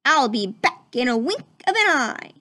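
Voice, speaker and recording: A woman's voice: high voice, sing-song, moderate pace, sharp tone, no room sound, full character voice. A woman voicing a self-assured, bored young prince in a children's storybook, emphatic and playfully commanding. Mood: breezy, instructing. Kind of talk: children's storybook read-aloud.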